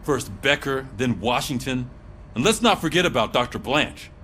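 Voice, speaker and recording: A man's voice: mid-range voice, expressive, moderate pace, a bit bright, a little hiss or hum, natural voice. A man playing a skeptical, probing police colleague in a video game, talking in a wary conversational way. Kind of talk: video game cutscene acting.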